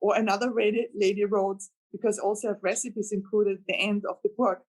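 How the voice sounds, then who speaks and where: A woman's voice: medium pitch, little pitch movement, a little fast, darkish tone, low-quality recording. Guest novelist: woman, Austrian accent, German native, earnest and rambling, talking casually. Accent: German-speaking, Austrian. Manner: earnest, rambling, laughs with delight. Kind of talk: casual interview chat.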